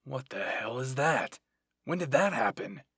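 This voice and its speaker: A man's voice: lowish voice, little pitch movement, normal speed, sharp tone, husky, no echo, clean studio sound, pitched below the performer's own voice, full character voice. A man voicing a stern, curt male military captain who scolds in clipped phrases in a fan fiction roleplay. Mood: scolding.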